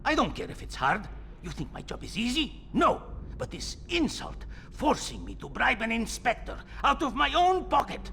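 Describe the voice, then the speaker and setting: An actor playing a hot-tempered but cowardly smuggler boss in a video game, theatrical. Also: male voice, very high voice, very animated, moderate pace, gravelly, sharp tone, a little hiss or hum, exaggerated voice. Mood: indignant bluster. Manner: theatrical, swings from boastful to grovelling.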